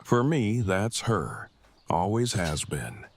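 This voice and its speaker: An actor playing a wordy, earnest survivor in an audio drama, with stilted, formal and deliberate diction. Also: male voice, lowish voice, sing-song, husky, heavily acted. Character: verbose, formal, earnest man in love, post-apocalyptic survivor.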